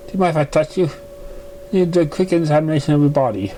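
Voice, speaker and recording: A man's voice: a little high, quick pace, warm tone, slight background noise, lightly acted, rough recording. A man voicing a professional, matter-of-fact female pony health examiner in a read-aloud story. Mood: brisk.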